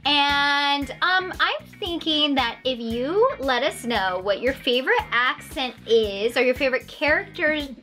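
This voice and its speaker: Very high-pitched bubbly woman. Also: lively intonation, a little slow, few pauses, sharp tone, soft background music. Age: young adult. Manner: bubbly, exclaiming, giggly. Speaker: game show host in princess costume. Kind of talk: playful hosting chat for teen video. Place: indoor living-room studio set.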